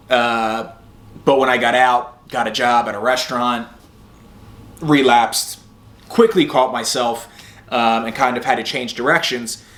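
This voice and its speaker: A man's voice: medium pitch, a bit bright. A middle-aged man giving a casual, candid motivational talk drawn from personal experience, as the host of a recovery podcast. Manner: conversational, candid. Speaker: host of a sobriety podcast and video channel. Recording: roomy echo.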